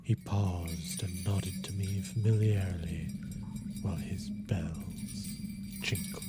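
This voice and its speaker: A man narrating a gothic story in a measured, formal, dramatic style. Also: low voice, fairly even intonation. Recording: loud music behind the narration.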